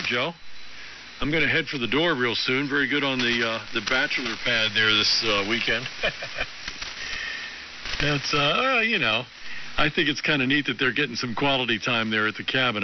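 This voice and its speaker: American man, casual ham radio talk, dull narrow AM sound, clearly audible static. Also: mid-range voice. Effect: AM shortwave radio. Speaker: amateur radio operator. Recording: muffled, noisy AM shortwave radio.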